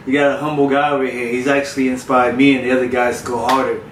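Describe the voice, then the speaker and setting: A man who grew up in South Florida, a personal trainer telling his story in a relaxed, candid way with frequent restarts. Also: mid-range voice, fast talker, a little hiss or hum. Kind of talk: casual podcast interview. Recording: roomy, distant mic with faint ambience.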